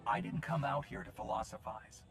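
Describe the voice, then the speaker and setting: An actor playing a confident, pragmatic male hero with a dry, gravelly voice. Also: mid-range voice, lively intonation, moderate pace. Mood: calm. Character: self-assured male hero, lucky and pragmatic. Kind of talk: video game cutscene acting. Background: game music.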